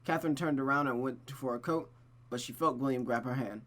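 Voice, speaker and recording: Streamer reading a romance game out loud, medium pitch, expressive with chatty little asides. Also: brisk. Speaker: streamer reading a visual novel. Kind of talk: expressive story narration with casual asides.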